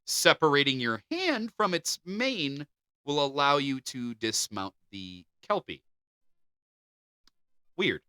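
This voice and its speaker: A man's voice: medium pitch, takes it slowly, pauses often, smooth, no room sound, clean studio sound. A man co-hosting a podcast, reading folklore aloud and joking between the passages. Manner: animated, jokey. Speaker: podcast co-host.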